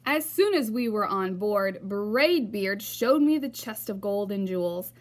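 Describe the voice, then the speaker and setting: A woman reading a children's storybook aloud in an expressive narrating style. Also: mid-range voice, measured pace. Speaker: storybook reader.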